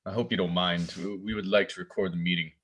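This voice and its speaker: A man plays a police officer in a drama table read, formal and polite. The voice is deep and everyday. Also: fairly even intonation, fast, a bit bright.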